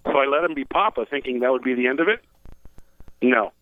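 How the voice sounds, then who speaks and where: A male stage performer who is a guest on a radio show, telling lively anecdotes over the phone and laughing as he talks. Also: medium pitch, a little fast, no echo. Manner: animated storyteller who laughs while talking. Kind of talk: phone interview. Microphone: telephone. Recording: tinny phone line.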